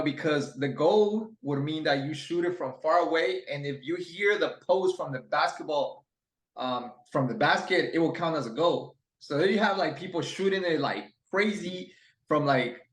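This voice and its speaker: A man's voice: fairly high pitch, roomy sound. A young adult man from Ecuador, a guest chatting casually and rambling with plenty of fillers and restarts. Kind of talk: casual interview chat.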